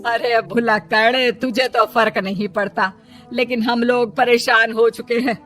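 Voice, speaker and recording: A woman puts on a middle-aged mother-in-law from a comic storybook. She is exasperated and bossy, scolding in a loud, high drawl over faint music. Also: fairly even intonation, measured pace.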